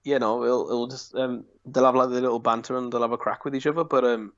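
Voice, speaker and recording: A man of about twenty, a podcast guest in a casual football chat, who talks hesitantly with many pauses and small laughs. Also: mid-range voice, steady tone, a bit bright. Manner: hesitant, lots of ums and laughs. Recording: slightly dull lo-fi sound.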